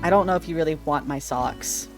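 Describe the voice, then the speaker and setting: A man chatting casually on a livestream with a slightly shrill, sharp-sounding voice, rambling playfully and tripping over his words. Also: brisk, faint music behind. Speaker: streamer. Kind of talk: casual livestream chat with viewers. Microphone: desk microphone.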